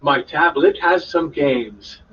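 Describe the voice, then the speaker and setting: Text-to-speech male reader, unhurried, children's story, played from a device across a room. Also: on the high side, fairly bright tone. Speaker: student reading with a speech device. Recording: dull, distant, noisy speaker playback.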